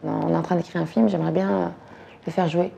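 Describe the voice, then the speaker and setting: A woman from Belgium in her thirties, talking casually and quickly about music and dance, using slang and repeating words. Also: on the low side, fairly even intonation, gravelly, dark tone, slightly rough. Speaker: actress and director talking about her influences. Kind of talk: casual chat.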